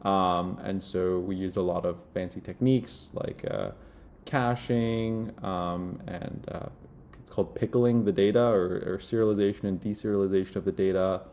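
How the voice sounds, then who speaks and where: A young man with a low-pitched voice. He talks casually, as if demoing a product indoors, and his delivery halts often on filled pauses. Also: fairly even intonation, darkish tone, a little hiss or hum. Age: young adult. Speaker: software demo presenter playing a job candidate. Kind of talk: casual product demo and phone screen.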